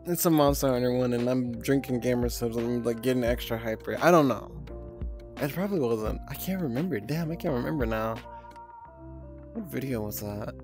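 A woman's voice: rumbling low, fairly quick. A young woman streaming from home, chatting casually in a rambling, giggly way and swearing freely. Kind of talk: casual live-stream chat. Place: streaming at home, VR avatar on screen. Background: soft background music.

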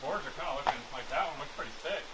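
A man's voice: fairly high pitch, very fast. A man hosting a building vlog, casually explaining his work to viewers with lots of filler words. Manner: relaxed, filler-heavy, speaks to viewers. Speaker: vlog host. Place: outdoors at a forest cabin build site. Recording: outdoor recording with clear background noise.

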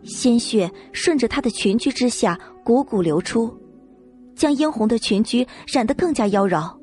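A woman's voice: on the high side, expressive, normal speed, a little mellow, faint music behind. A woman narrating a scripted novel as an audiobook reader.

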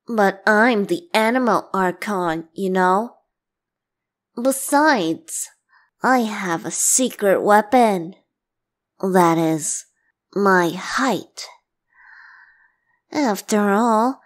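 A woman's voice: medium pitch, very slow, pauses often. A woman voicing a playful, clingy boyish god and bard in a boyfriend ASMR roleplay, teasing and theatrical. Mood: mock threatening.

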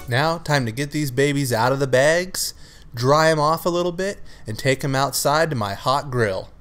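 A man hosting a cooking channel, narrating his recipe steps in a relaxed, matter-of-fact voice-over. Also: medium pitch, normal speed. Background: loud music.

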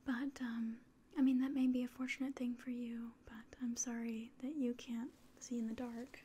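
A woman in her twenties whispering gently and breathily in an ASMR medical exam roleplay, giving polite, hesitant instructions. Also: on the high side, level tone, moderate pace. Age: 20s. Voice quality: breathy whisper and very soft speech. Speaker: ASMR roleplayer playing an alien doctor. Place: small indoor room at home.

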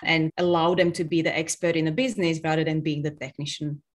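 A woman's voice: low-pitched, fairly even intonation, fast. A woman in her thirties from the Czech Republic, speaking with a Czech accent as a business guest on a video call, earnest and explanatory. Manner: earnest, explains with hand gestures. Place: home office, virtual background. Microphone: webcam on video call.

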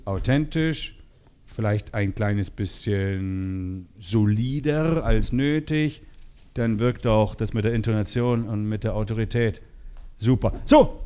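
Male sales trainer, forties, soft-edged timbre, mid pitch, animated and teasing delivery for a live seminar. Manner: animated, teasing, role-plays short dialogues. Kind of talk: lively seminar talk to participants. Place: seminar room with participants. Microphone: headset.

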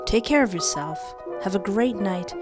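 A woman's voice: medium pitch, animated, moderate pace. A young adult woman hosting a gaming video, switching between reading text aloud and casual commentary on a close mic. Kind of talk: let's play commentary with dramatic read-aloud narration. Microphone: close desk microphone with headset. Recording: music behind.

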